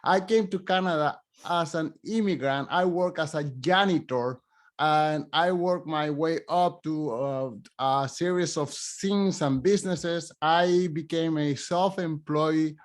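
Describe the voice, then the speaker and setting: A middle-aged man with a Spanish accent, a podcast host telling his own story in a halting way, with many fillers and restarts. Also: fairly high pitch. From Spanish-speaking country. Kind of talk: casual interview chat.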